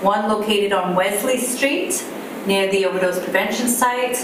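A woman with a low voice giving a staff update in a video meeting, speaking steadily with fillers. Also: measured pace. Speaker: city staff presenter. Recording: roomy distant video call audio with background noise.

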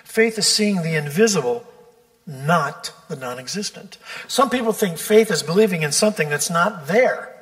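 A male pastor delivering a sermon in a church, measured and deliberate, stretching out key words for emphasis. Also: fairly high pitch, fairly bright tone. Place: church sanctuary. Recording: long church reverb.

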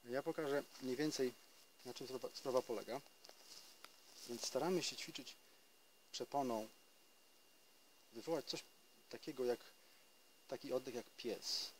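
A man's voice: mid-range voice, a little hiss or hum, poor audio. A man in his thirties giving a casual spoken trumpet lesson outdoors in a forest, explaining step by step with hesitations and restarts. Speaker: trumpet teacher.

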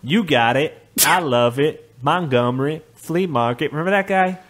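A man's voice: on the high side, moderate pace, fairly bright tone. A man co-hosting a comedy podcast in casual, jokey banter, often laughing as he talks. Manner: jokey, prone to laughing while talking. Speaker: podcast co-host.

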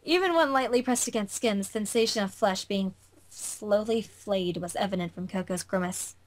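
A woman's voice: medium pitch, moderate pace, a little hiss or hum. A woman reading visual novel narration as an amateur, in a theatrical storytelling way with occasional stumbles. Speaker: fandub narrator.

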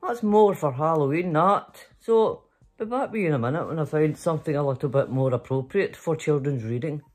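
A woman's voice: low voice, brisk, a little mellow, soft background music. A middle-aged or older Scottish woman, a grandmother, chatting playfully and reading a story to children in a bedroom. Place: small bedroom, indoors.

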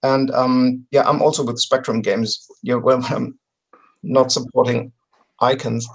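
A middle-aged man talking casually as an interview guest on a video call, often pausing to search for words. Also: mid-range voice, little pitch movement, vocal fry, low-quality recording. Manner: halting, word-searching delivery. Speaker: podcast guest presenting a crowdfunding campaign. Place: home room with bookshelves, on a video call.

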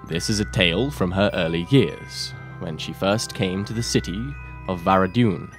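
A man's voice: low-pitched, moderate pace, lightly acted. A man playing a wry, sardonic storyteller narrating an audio drama. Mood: dramatic, wry. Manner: dry, sardonic. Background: music.

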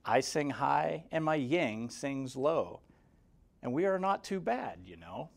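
A man in his forties reading a rhyming children's picture book aloud, expressively, in a measured sing-song with clear emphasis. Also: on the high side, very animated. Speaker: guest story reader for kindergarteners. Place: indoor room, seated in front of a banner. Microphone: lapel mic.

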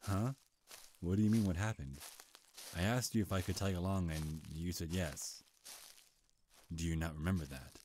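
A man playing a charming, sly male vampire in an intimate ASMR roleplay, soft-spoken, flirtatious and hesitant, with deliberate delivery. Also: sits low, steady tone, a little hiss or hum, natural voice. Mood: sly, probing. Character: charming, sly male vampire, centuries old, courting prey. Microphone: close, dry microphone.

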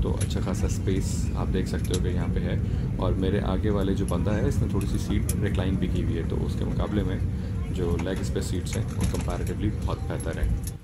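A young man from Pakistan, giving a relaxed travel review to a phone held at arm's length and mixing English phrases into his talk. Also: sits low. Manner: relaxed, talks to camera, mixes English phrases in. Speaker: travel vlogger. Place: airport terminal and plane cabin, indoors. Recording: noisy airport and cabin background.

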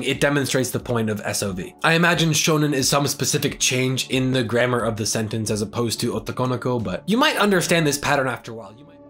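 A young man chats straight to his webcam from a small bedroom. He explains ideas casually and stays animated, with his speaking pitch sitting in the middle. Faint music plays under his voice. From English-speaking. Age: young adult. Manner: animated, gestures a lot while explaining. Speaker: language learning vlogger. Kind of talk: casual talking-head vlog.

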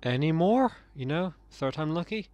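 A young man, slightly croaky, giving sarcastic, sweary commentary while playing a game. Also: on the high side, lively intonation, normal speed, a little mellow, highs cut off. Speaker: let's play gamer. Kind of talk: casual gameplay commentary.

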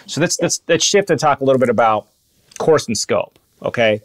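A man's voice: medium pitch, animated, brisk, dry recording. A man in his thirties hosting an interview podcast, asking probing questions in a conversational way. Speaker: podcast host.

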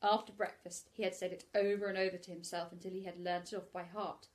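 A middle-aged woman, a teacher, gently reading a bedtime story aloud to young children from her living room on a laptop camera. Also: fairly low pitch, flat delivery, fast talker.

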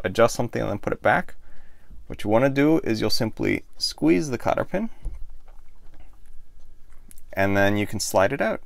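A man in his thirties calmly explaining how to do something step by step, demonstrating with his hands in a small boat cabin, close to the camera. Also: mid-range voice, vocal fry, dry recording. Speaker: sailing show host. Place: small wood-paneled boat cabin, indoors. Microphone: camera close in front of the face.

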